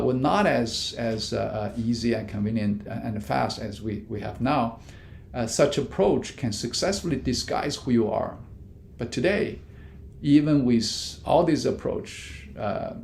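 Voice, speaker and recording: A man, a business professor, giving measured answers in an interview with frequent fillers and restarts. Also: creaky, darkish tone. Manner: many fillers and restarts, non-native grammar; measured, explanatory. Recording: echoey room with faint rumble.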